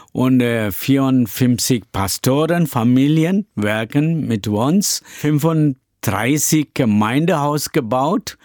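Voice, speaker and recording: A middle-aged Indian pastor whose first language is Tamil answers interview questions in a halting way, pausing often with many hesitations and simple grammar. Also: male voice, medium pitch, darkish tone, no room sound, clean studio sound. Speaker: pastor and church planter.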